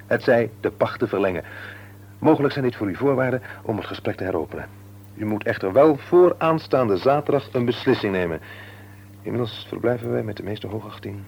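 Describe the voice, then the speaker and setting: An actor playing a businesslike, helpful husband in a Dutch radio drama. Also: male voice, mid-range voice, creaky, a little mellow, slightly rough. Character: businesslike husband pondering plans, eager to help.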